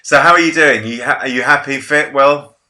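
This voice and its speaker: A man's voice: medium pitch, fairly quick, pushed and bright. A man in his thirties hosting a casual video-call interview from a home office, chatty, jokey and self-deprecating. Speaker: interview host.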